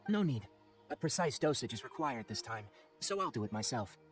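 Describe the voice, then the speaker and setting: A man playing a calm, expert young forest ranger and mentor in a video game, speaking in a measured, articulate, instructive way. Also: medium pitch, close to an everyday voice. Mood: matter-of-fact. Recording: game music behind the voice.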